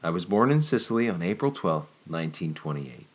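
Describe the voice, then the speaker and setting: A gentle, courtly male spirit, as a man reading a story plays him. He speaks low and softly, slow and measured, with a calm, even warmth of delivery. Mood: serene.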